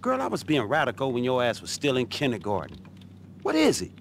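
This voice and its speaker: A man's voice: mid-range voice, huge pitch swings, a little fast, gravelly, a little hiss or hum. An actor playing a streetwise, easily exasperated man in a video game, talking bluntly and in slang. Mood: confident. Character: streetwise, exasperated middle-aged man running a fixer business. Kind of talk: video game cutscene acting.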